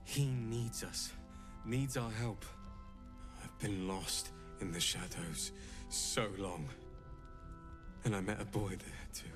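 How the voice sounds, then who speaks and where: A man playing a haunted, desperate video game character, halting and pleading after being lost in shadows. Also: fairly low pitch, music in the background. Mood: desperate, urgent, then haunted, dazed.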